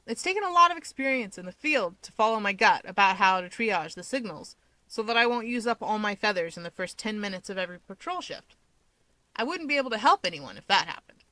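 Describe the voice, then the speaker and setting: A woman's voice: medium pitch, very animated, fairly quick, bright, forceful tone, no room sound, low-quality recording. A woman voicing an upbeat, self-assured young male hero with wings, explaining things chattily in an audio story. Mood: earnest, explaining. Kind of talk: fan fiction audiobook character dialogue.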